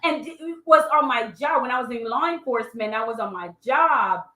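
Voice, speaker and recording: A woman's voice: a little high, lively intonation, brisk, bright, forceful tone, roomy sound. A woman with a Jamaican accent giving motivational online coaching, speaking colloquially and emphatically, repeating herself and addressing listeners directly. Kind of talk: motivational live training with personal stories.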